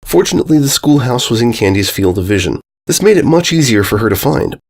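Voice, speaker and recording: A man reads a story aloud as its narrator. His mid-range voice moves around a lot in pitch, giving the scripted prose an expressive, animated feel. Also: quick pace. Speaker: fan fiction narrator.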